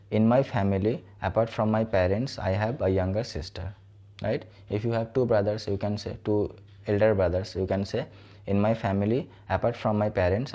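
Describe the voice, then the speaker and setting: Man, low and mellow, teacher who checks in with "right?". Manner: explains step by step with frequent "so" and "right?". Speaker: English teacher. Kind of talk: spoken English lesson.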